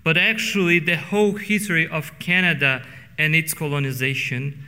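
A middle-aged Brazilian pastor preaches in English with a Portuguese accent, drawing out key words for weight. His voice is bright and sits a little high for a man. It rings out with the long reverb of a church hall. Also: fairly even intonation, clear, resonant tone. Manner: earnest, slows down for emphasis. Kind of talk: sermon. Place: church sanctuary.

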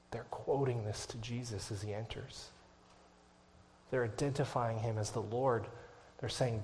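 A male pastor preaching a sermon to his church, swinging from hushed, whispered lines to loud, impassioned climaxes and closing in prayer. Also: on the low side, slight background noise.

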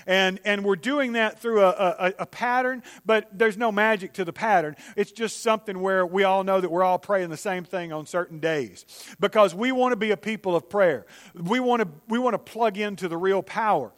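Man, preacher, high voice, conversational sermon, echo of a large church hall. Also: moderate pace, a bit bright. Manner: conversational, with many restarts and acted-out quotes. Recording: long church-hall reverb.

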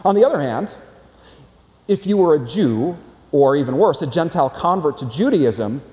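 A man preaches to his congregation from the front of a church, his voice carrying with a long hall reverb. He speaks a little high for a man but with a warm, mellow tone. His delivery is earnest, steady and explanatory, with rhetorical questions to the room. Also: moderate pace. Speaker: pastor. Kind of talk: sermon.

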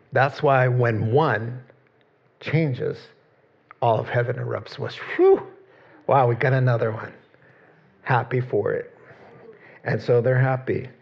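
Male preacher, gravelly tone, chatty sermon delivery, muffled lo-fi capture. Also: medium pitch. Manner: conversational, quotes scripture, jokes with the congregation. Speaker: pastor.

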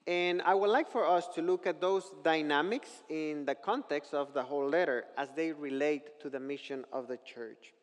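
A man's voice: on the high side, moderate pace, few pauses. Mexican man preaching in a reverberant hall. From northern Mexico. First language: Spanish. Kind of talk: sermon with scripture reading.

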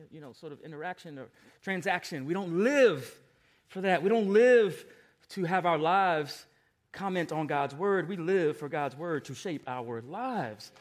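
An American man in his forties preaching a sermon as a pastor, emphatic and given to repeated refrains, moving between hushed asides and loud exclamations. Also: on the high side. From Long Island, New York, United States. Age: 40s.

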